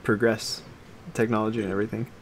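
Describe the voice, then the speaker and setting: A man's voice: fairly low pitch, little pitch movement, fast talker, a loud crowd. A man co-hosting a podcast, talking in casual, conversational chat. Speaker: podcast co-host.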